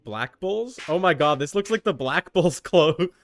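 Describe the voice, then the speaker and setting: A man's voice: on the high side, animated, measured pace. A man chatting casually and swearing as he livestreams while setting up a game character. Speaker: streamer.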